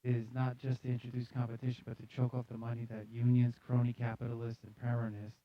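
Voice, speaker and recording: A man's voice: mid-range voice, monotone, quick pace, dull audio. A man hosting a live news show, casually reading articles aloud with jokey, slangy, sardonic commentary.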